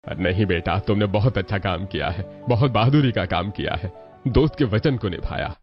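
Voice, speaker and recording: A man's voice: lowish voice, moderate pace, close to an everyday voice. A man playing a middle-aged father of three grown sons in a cartoon family story. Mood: approving. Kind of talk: Hindi cartoon story voice acting. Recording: dull lo-fi audio over music.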